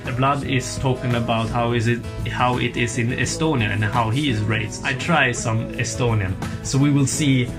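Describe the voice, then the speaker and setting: Halting male podcast host over guitar. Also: mid-range voice, fairly even intonation. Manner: halting, with restarts and searching for words. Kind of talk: casual podcast intro and chat. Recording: intro spoken over guitar music.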